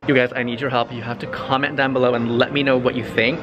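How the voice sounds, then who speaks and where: A young man chatting casually to a handheld camera, gushing excitably over what he finds in a car and in stores. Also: medium pitch, fast, dull audio, loud music behind the voice. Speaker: vlogger. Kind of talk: casual vlog chat to camera. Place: car, showroom and store, indoors. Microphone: handheld camera at arm's length.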